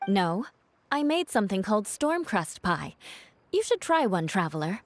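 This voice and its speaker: A woman plays a self-assured young warrior woman in a game. She sounds cool but expressive, medium in pitch, recorded dry and close. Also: normal speed, no room sound, natural voice. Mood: wry, casual. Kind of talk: video game character acting.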